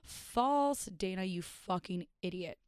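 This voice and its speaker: A young woman hosting her own podcast and rambling in a self-mocking, chatty way. Her voice is hoarse and raspy after days of partying. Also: on the low side, expressive, slow, darkish tone, dry recording, clean studio sound. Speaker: podcast host and stand-up comedian. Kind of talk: casual solo podcast chat.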